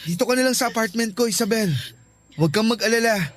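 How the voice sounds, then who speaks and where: A man's voice: sits high, fast, a bit bright, faint music behind. A man playing a protective, caring young boyfriend in a Tagalog radio drama, earnest and reassuring.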